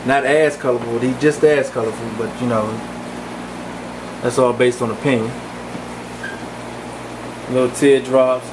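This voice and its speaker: Male, casual rambling home-video narrator, mid-pitched, steady fan hum behind him. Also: measured pace, pauses often. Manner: relaxed, rambling, with many fillers. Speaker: fish breeder giving a tour. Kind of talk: casual walk-through narration. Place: home aquarium room with running fans. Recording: camcorder audio with fan hum.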